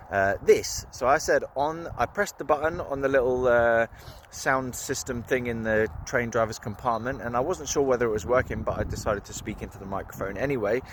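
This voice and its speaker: Young male vlogger, loose chatty storyteller, mid-range voice, traffic noise behind. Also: mid-range voice. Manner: rambling, informal, with fillers and laughs. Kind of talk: casual storytelling to camera.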